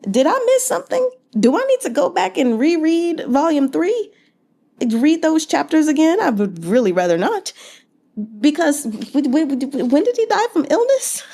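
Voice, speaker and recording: A woman's voice: high-pitched, warm tone. A woman talking casually and expressively through a book recap, with conversational, incredulous asides. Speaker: book read-along vlogger.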